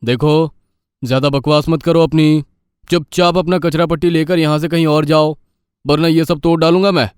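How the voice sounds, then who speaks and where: A man voicing an arrogant, bullying shop owner in an animated moral story, speaking in an aggressive, domineering way. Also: a little high, moderate pace, clear, resonant tone, no echo, studio quality, voice pushed up, natural voice. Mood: angry, threatening.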